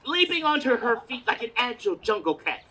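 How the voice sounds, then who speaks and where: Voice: medium pitch, very animated, brisk, a crowd in the background, natural voice. An actor playing a flustered, awestruck radio news reporter who stammers through a melodramatic live report on an outdoor stage. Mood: amazed, stammering. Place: outdoors in a parking lot. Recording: outdoor stage recording, noisy background.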